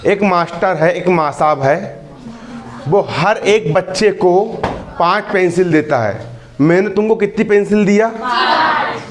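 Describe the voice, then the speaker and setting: A man's voice: sits high, animated, slow, pauses often, a crowd in the background. A man in his early twenties teaching a maths class. He keeps repeating questions to the students and teases and scolds them. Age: 20s. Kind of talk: classroom lesson. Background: students in the room.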